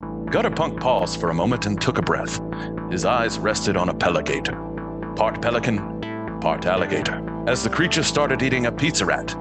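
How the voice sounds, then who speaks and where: A man acts a grandiose narrator of a comic radio serial. His lowish voice is theatrical and pompous, set over loud plucked guitar music. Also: big pitch swings, moderate pace. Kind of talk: mock-dramatic scripted serial narration.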